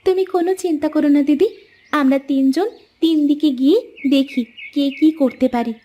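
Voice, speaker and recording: A woman's voice: high-pitched, fairly quick, dark tone, natural voice. A woman playing a devoted, brave young village wife in a cartoon moral tale, speaking in an earnest, dramatic way. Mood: determined, caring. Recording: music under the voice.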